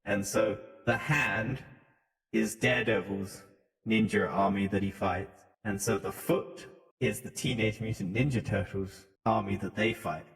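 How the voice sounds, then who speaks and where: A man's voice: lowish voice, steady tone, unhurried, vocal fry. Echo-drenched man bantering on a podcast. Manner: sweary, irreverent. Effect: voice modulator. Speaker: podcast co-host. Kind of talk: casual joking banter. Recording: echoey hall-like reverb on voice.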